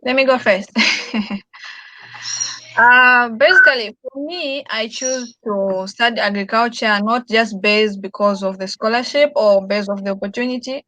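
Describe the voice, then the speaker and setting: A woman's voice: on the high side, a little slow, heavy background noise. A young woman from Zambia, a student panelist speaking earnestly in an online panel. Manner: earnest, with frequent "like" and "you know".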